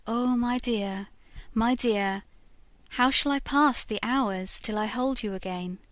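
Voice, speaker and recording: A woman's voice: a little high, slow, fairly bright tone, no echo. A woman voicing a passionate, lovestruck young man, speaking in a raised, fervent way. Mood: longing. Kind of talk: audiobook reading.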